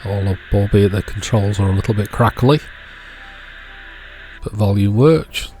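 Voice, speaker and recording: A man with a fairly low voice talks casually through a hands-on repair. He is unscripted, thinking aloud as he works, with music faintly present behind him. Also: a little slow, mellow. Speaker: host of an electronics repair channel.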